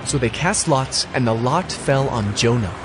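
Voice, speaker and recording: A man's voice: mid-range voice, expressive, normal speed, background noise, lightly acted. A man narrating a dramatized audio Bible as a scripted, dramatic story.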